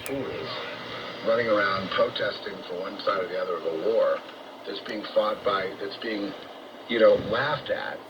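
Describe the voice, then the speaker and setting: American man, lowish voice, distant muffled shortwave signal, halting unscripted monologue, grandiose, coarse-spoken, repetitive. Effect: shortwave radio. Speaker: radio talk host and monologuist. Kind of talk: rambling off-the-cuff radio monologue. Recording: muffled, very noisy distant shortwave radio broadcast.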